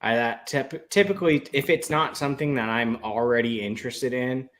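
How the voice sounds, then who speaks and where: A man chatting casually as a panelist on a talk show, in a halting, conversational way with trailing asides. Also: medium pitch. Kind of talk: casual chat.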